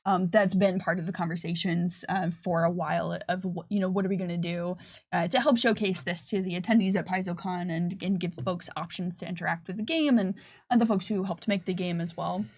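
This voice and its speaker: A woman's voice: lowish voice, steady tone, brisk, a little mellow. A woman answering audience questions as a panelist on a livestream, speaking informally with a self-deprecating touch. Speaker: panelist and game developer on a company livestream. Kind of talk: casual livestream Q&A chat.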